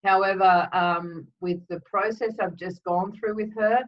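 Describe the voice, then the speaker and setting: Woman, croaky throat, chatty webinar host, voice set back from the mic, dull lo-fi sound. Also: medium pitch, fairly even intonation, unhurried. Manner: chatty, greets viewers by name, frequent ums. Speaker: energy healer hosting a live webinar. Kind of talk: casual live-stream talk. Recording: distant, dull lo-fi webinar audio.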